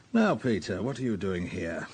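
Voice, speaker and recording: Boisterous police boss, swooping pitch. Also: male voice, darkish tone, breathy edge, exaggerated voice. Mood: mocking, jovial. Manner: blunt, jovial, needling. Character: bluff, vulgar senior policeman, big, boisterous, mocking boss. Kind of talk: radio drama acting.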